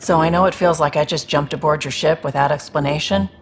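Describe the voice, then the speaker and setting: A cool, protective alien woman from a science fiction game, played by an actress. Her voice is low and offhand, with noticeable noise behind it. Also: steady tone, fast, natural voice. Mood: frank. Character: cool, protective alien big sister, blunt mercenary woman. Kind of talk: video game dialogue acting.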